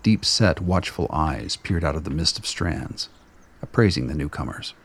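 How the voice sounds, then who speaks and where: A man narrating a radio play in a measured, descriptive storytelling manner. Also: rumbling low, animated, slightly rough. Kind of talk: scripted narration.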